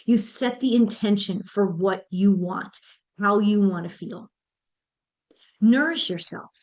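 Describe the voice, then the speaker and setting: A woman's voice: mid-range voice, takes it slowly, darkish tone. Woman in her fifties, encouraging podcast host. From United States. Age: 50s. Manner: encouraging, addresses listeners directly. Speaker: podcast host and menopause mentor. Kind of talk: motivational solo podcast talk.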